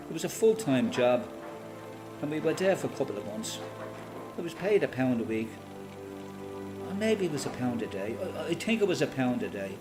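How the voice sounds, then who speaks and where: A man's voice: mid-range voice, lightly acted. Man playing an old Irish veteran, music behind. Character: elderly Irish ex-freedom fighter, plain-spoken, quietly proud father. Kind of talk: radio play monologue, reminiscing as recorded testimony.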